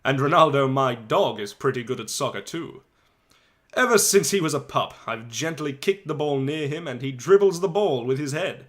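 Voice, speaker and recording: A man voicing a chatty, wry eleven-year-old soccer-mad schoolboy in a dramatic storybook reading, conversational with animated asides and pauses. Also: mid-range voice, close to an everyday voice. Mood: proud, excited.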